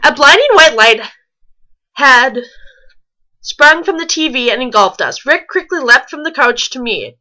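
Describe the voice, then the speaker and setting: A woman's voice: sits high, sing-song, a little slow, pushed and bright, slight background noise. A woman reading fan fiction aloud and commenting on it casually. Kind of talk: storybook-style reading mixed with casual mocking commentary.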